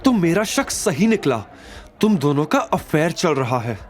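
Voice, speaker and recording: Male narrator as a haughty young ex-wife, high-pitched, jealous, scornful, accusing, audio drama. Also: sing-song, unhurried, a faint crowd.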